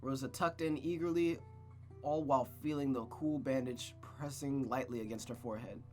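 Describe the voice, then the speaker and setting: A man's voice: medium pitch, steady tone, moderate pace, mellow, soft background music. A man streaming a visual novel, narrating it aloud like a storybook and adding casual asides.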